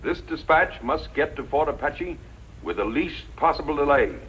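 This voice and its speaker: A man playing a grave army commander in a 1950s radio western, speaking in a deliberate, formal way. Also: a little high, lively intonation, fairly bright tone, a little hiss or hum. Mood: grave, commanding. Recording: dull, muffled 1950s radio recording.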